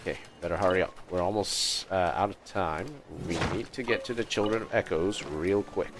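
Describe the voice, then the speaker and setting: A man giving casual livestream game commentary, thinking aloud in short, unhurried phrases. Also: low voice.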